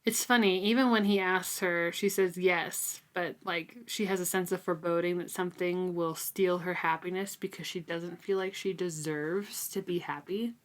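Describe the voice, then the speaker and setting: A woman's voice: on the low side, fairly even intonation, moderate pace, hardly any pauses. Casual, chatty woman co-hosting a podcast. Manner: chatty, frequent "like" and "super". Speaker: podcast co-host. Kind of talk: casual book discussion.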